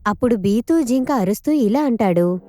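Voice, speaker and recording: High-pitched woman narrating an animated story. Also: moderate pace.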